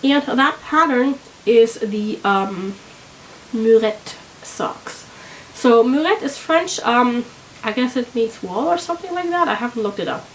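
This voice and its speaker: A sick, congested woman with a nasal voice, chatting casually to her viewers about her knitting, rambling and hesitant with lots of pauses. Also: on the high side, animated, a little hiss or hum, rough recording. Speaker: knitting vlogger. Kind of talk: casual chat to camera.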